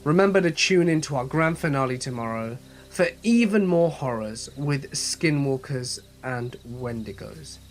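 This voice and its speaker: A man narrating horror stories as a channel host, reading in a measured, steady way with a voice slightly off from a cold. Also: medium pitch, a little hiss or hum. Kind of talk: scripted horror story narration.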